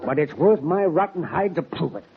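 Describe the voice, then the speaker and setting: A man's voice: a little high, expressive, normal speed, creaky. An actor playing a weary, conflicted middle-aged father mixed up with a gang in an old Western radio drama, with folksy cowboy talk. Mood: uneasy, tender. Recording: muffled, noisy old radio recording.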